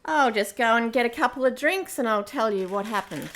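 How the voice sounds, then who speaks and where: Woman as space pirate queen, brash, casual, foul-mouthed, fairly high voice, amateur radio play. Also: expressive, a little fast, noisy background, close to an everyday voice. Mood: breezy, boastful. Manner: brassy, casual, swears freely. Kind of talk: amateur audio drama acting.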